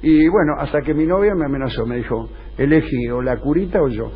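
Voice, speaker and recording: A man's voice: fairly high pitch, expressive, brisk. A man with an Argentine Rioplatense accent hosting a radio comedy show, telling absurd stories in a mock-serious way as improvised dialogue. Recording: dull, noisy radio recording.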